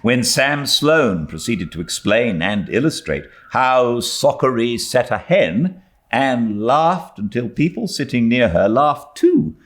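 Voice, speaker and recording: A man with a British accent reading a classic novel aloud as an expressive audiobook narrator. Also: medium pitch, slow pace. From Britain. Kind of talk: expressive literary story narration.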